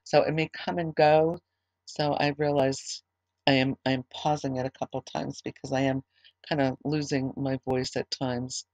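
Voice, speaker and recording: A slightly hoarse woman leading a small online religious service, giving announcements in a conversational way with fillers and restarts, then praying. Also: a bass voice, flat delivery, moderate pace, darkish tone, no room sound, poor audio. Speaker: ministry leader.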